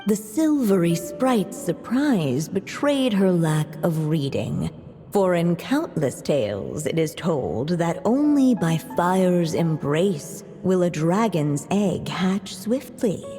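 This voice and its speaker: A storybook narrator with a lowish, soft-edged voice, unhurried and slightly arch. Her ornate fairy-tale narration for a game rings with long reverb over music. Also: sing-song. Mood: wry, measured. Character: composed female storyteller narrating a fairy tale, wry and literary.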